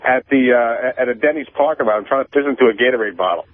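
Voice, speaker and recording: A man's voice: medium pitch, no echo. Guy on a tinny phone line, dry sound, been drinking, rambles and hesitates, loses his thread. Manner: halting, scattered, hesitant rambling. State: drinking vodka. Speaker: phone-in caller. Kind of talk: rambling casual chat. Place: phone call from a parking lot.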